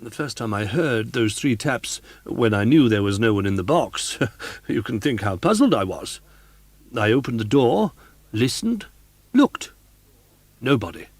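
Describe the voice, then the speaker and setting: A man's voice: mid-range voice, very animated, unhurried, no echo. A man voicing a chatty, superstitious older woman who works as a theatre boxkeeper, talking earnestly. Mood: gossipy. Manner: earnest, gossipy.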